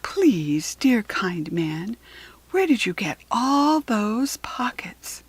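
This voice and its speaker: A woman voicing an earnest, excitable mother kangaroo in a storybook, pleading and emphatic. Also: mid-range voice, drawn-out words, husky, heavily acted. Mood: polite, wondering. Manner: pleading, wide-eyed, emphatic.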